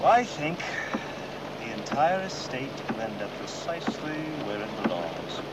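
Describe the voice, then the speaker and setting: Smug schemer, arch and sure of himself, far from mic, super noisy outdoors. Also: male voice, mid-range voice, sing-song, measured pace. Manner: arch, self-assured. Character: smooth, conceited schemer reassuring his lover. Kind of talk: 1970s horror-comedy film acting. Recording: very noisy distant outdoor film sound.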